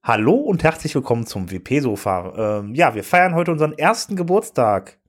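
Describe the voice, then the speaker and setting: A man's voice: medium pitch, very animated, moderate pace. Thirties german guy on a podcast, lots of ums, playful, middle voice. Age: 30s. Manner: hesitant, full of fillers, playful. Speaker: podcast co-host. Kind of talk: casual podcast chat.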